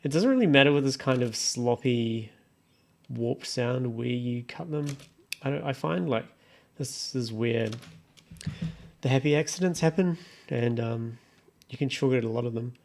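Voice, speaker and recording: Creaky-voiced man muttering through a music tutorial. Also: medium pitch, moderate pace. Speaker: music production tutorial creator. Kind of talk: casual screen-share production walkthrough, thinking aloud. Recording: clear background noise, keyboard and mouse clicks. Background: computer typing and clicking.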